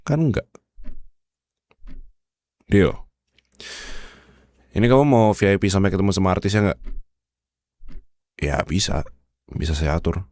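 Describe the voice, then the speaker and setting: Bossy, sardonic office chief played by a male actor for ASMR roleplay; Indonesian accent, very low register, close dry sound. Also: lively intonation, measured pace, pauses often, darkish tone, no room sound. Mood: coaxing, exasperated. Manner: bossy, sardonic, addresses listener with rhetorical questions. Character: demanding, bossy male boss who softens into bribing his manager. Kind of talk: ASMR roleplay monologue to the listener.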